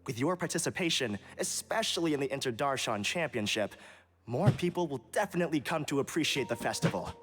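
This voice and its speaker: A man's voice: mid-range voice, expressive, fairly quick, a bit bright, faint music behind, lightly acted. A man playing a polite, earnest academy official in an anime video game, speaking formally and courteously.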